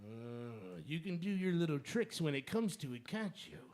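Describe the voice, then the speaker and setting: A man's voice: on the high side, very animated, normal speed, a little mellow. A man playing a menacing, short-tempered crook in a radio drama, sneering and threatening in a theatrical style. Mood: sneering menace.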